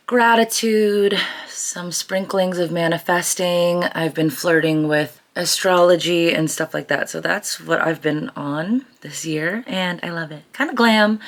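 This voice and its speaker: Female vlogger, American, low register with a singing-worn rasp; casual, gushing, upbeat chat. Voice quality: raspy. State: voice raspy from singing. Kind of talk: casual chatty vlog.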